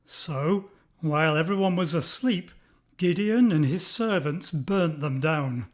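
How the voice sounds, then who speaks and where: A male storyteller narrates for children. His voice sits high for a man. He reads expressively from a script at a slow, careful pace.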